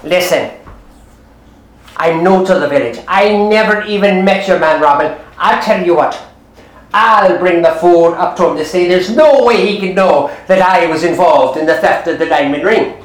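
A storyteller plays a plain-spoken housemaid in a folk tale. She keeps her voice low and sure of herself, in an echoey room. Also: moderate pace, lightly acted. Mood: confident, secretive. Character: plain-spoken young housemaid, newcomer, confident but jumpy.

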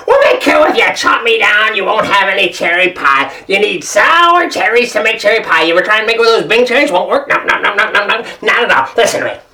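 A woman's voice: medium pitch, animated, quick pace, few pauses, pushed and bright, echoey room. A woman storyteller voicing a sour-tempered, self-important cherry tree in a blunt, indignant, scolding manner. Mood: bargaining, insistent. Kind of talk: live folktale storytelling.

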